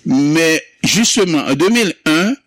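A man whose mother tongue is Creole, recalling in an interview how he founded a community Creole month, with many pauses, fillers and restarts. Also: a little high, lively intonation, fairly bright tone, dry recording. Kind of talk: interview recollection.

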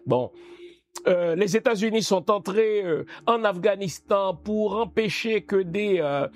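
Slow, lively high-voiced man. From Haiti. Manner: emphatic, rhetorical questions to the listener. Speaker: political commentator. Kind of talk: spoken commentary.

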